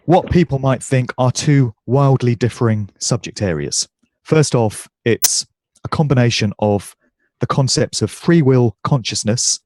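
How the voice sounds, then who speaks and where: A man giving a talk as a guest speaker, congested and croaky but speaking fluently over a video call. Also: mid-range voice, a little mellow, no room sound. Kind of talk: presentation.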